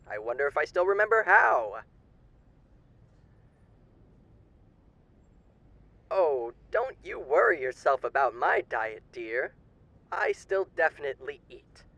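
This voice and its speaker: A man's voice: fairly high pitch, a bit bright, heavily acted, low-quality recording. A man playing a suave, sinister demon with a theatrical, condescending manner and old-fashioned genteel endearments in a roleplay monologue. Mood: genteel, teasing.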